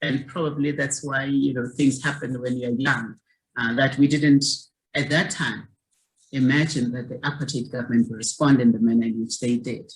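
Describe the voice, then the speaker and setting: A woman's voice: very deep voice, fairly even intonation, normal speed, rough recording. Earnest woman in her sixties, from South Africa. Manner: earnest, repeats words while searching for them. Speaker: guest, community activist. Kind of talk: reflective interview storytelling.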